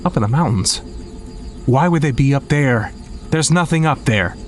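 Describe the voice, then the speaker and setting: An actor playing an impatient, hotheaded young soldier in an audio drama. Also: male voice, mid-range voice, expressive, moderate pace, a little hiss or hum, lightly acted. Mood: skeptical.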